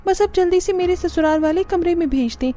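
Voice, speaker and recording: A woman's voice: extremely high pitch, fairly quick, warm tone, pitched above the performer's own voice. A woman voicing a weary, grumbling young bride in a cartoon story.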